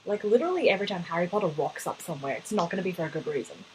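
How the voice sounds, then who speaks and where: A woman's voice: lowish voice, expressive, rapid-fire, a little mellow, a little hiss or hum, poor audio. A woman co-hosting a podcast in casual chat, with wry, teasing replies. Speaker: podcast co-host.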